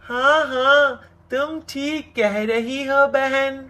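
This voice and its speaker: Slow, high cartoon crow acted by a woman. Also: bright, forceful tone, heavily acted. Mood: agreeable. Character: greedy, lazy male cartoon crow. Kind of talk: children's animated moral story acting.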